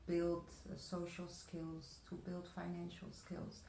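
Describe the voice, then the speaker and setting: A woman from the Netherlands giving explanatory interview answers as a guest, halting between short phrases. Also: fairly low pitch, completely flat delivery, gravelly, warm tone. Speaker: guest, saving circles trainer. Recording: echoey room, faint background noise.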